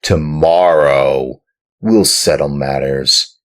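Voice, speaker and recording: A man voicing a rough, angry farmer in a storybook reading, speaking loudly, gruffly and threateningly. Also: deep voice, lively intonation, slow.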